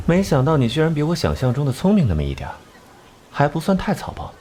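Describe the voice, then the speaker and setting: An actor playing an aloof young genius detective who explains things in a measured, condescending way in a Chinese animated drama. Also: male voice, fairly high pitch, slight background noise. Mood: dryly condescending. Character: cool, aloof male genius detective and occult scholar. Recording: music behind the voice.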